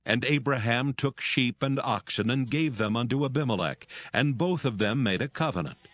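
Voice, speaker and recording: A man's voice: mid-range voice, normal speed, fairly bright tone, no echo. A man narrating scripture as a scripted reading.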